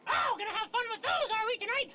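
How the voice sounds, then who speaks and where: A performer voicing a brisk, money-minded goblin shop cashier in a comedy sketch, brusque but chummy. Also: very high-pitched. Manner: brusque, chummy, hurrying customers along. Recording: very noisy, clicky home recording.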